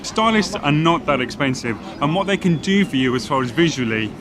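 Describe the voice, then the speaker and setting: A man's voice: on the high side, expressive, brisk. A middle-aged man from Wales with a Welsh accent tinged with Geordie, chatting casually and confidently about his work as a fashion stylist while someone films him on a phone. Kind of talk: casual on-the-street chat. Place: outdoors on a city street. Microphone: phone camera held by the other person. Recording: street noise behind, phone recording.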